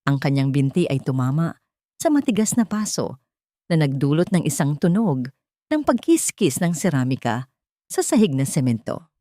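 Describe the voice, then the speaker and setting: Female Filipino storyteller, dark mellow low voice, solemn and measured, for scripted drama narration. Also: no echo, studio quality.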